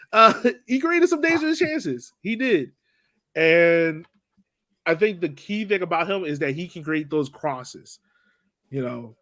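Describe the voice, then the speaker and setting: Higher-pitched man, casual sports podcast chat. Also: a bit bright, no echo. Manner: playful, joking. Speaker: podcast co-host.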